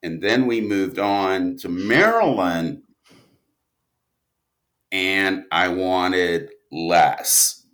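A man talking casually as a podcast guest, an animated storyteller who rambles and interrupts himself. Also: low voice, drawn-out words, long pauses. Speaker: guest, audio drama writer and producer.

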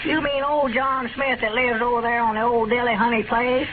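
A man's voice: very high-pitched, flat delivery, normal speed. An actor playing an addled, literal-minded older rustic man with a rural Southern accent, folksy and dithering, in 1930s radio comedy. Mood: literal-minded, puzzled. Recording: scratchy, tinny 1930s radio broadcast recording.

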